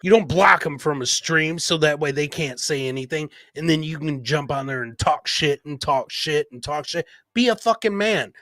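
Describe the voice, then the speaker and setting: A man's voice: a little high, animated, moderate pace, hardly any pauses, pushed and bright, dry recording, studio quality. A man hosting a casual livestream, blunt and emphatic, repeating himself and chummily dropping "man" and "brother". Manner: blunt, profane, emphatic and repetitive. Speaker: podcast host.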